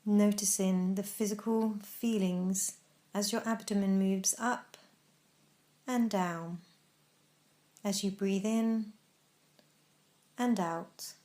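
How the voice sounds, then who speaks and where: Female meditation narrator, mid-range pitch, instructional and measured, leaving deliberate space between phrases. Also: fairly even intonation, mellow. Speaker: meditation guide.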